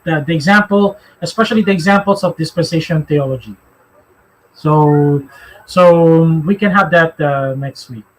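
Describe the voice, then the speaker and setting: A man with a Filipino accent and a high-pitched voice leads a live religious discussion. He talks haltingly, circling back over his phrases as he explains and takes questions. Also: rough recording. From Philippines. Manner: halting, repeats phrases as he goes.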